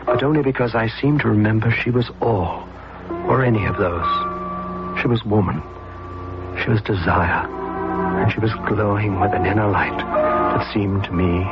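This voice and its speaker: Wistful, timid, gravel-edged romantic. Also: male voice, fairly low pitch. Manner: hesitant, halting, unsure. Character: wistful, timid, awkward, lovestruck man. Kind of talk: old-time radio drama acting. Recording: muffled old radio recording with music.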